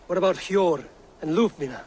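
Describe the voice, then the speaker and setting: A man's voice: sits high, normal speed, darkish tone, slight background noise, natural voice. A man playing a wary, blunt Viking war leader in a dramatic video game scene. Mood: questioning, urgent.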